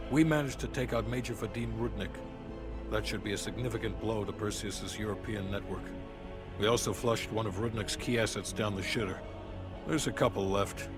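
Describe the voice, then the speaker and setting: An actor playing a hard-nosed, businesslike intelligence officer giving a matter-of-fact debrief in a video game. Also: male voice, on the low side, steady tone, a little fast, close to an everyday voice. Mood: gruff satisfaction. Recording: music under voice. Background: music.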